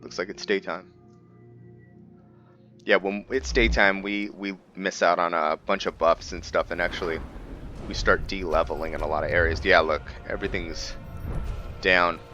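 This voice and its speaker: A man with a very low, bright voice commentates casually on a game. He speaks slowly and haltingly, pausing as he thinks aloud. Faint music plays underneath. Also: steady tone, lo-fi sound. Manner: halting, thinking aloud with long hesitant pauses. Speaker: gamer host of a roleplay series.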